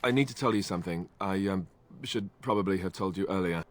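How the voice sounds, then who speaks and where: A low male voice for a fantasy game as acted by a man. He is a young warrior with royal blood he would rather not mention, sheepish and halting, with a wry, self-mocking streak. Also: natural voice. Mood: sheepish, hesitant. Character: awkward young warrior, secret royal bastard, self-deprecating. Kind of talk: video game voice acting.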